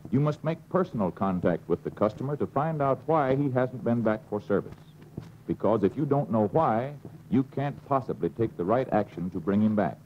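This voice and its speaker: An American man narrating an instructional film in a measured, instructive way, on a dull old film soundtrack with faint hiss. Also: mid-range voice.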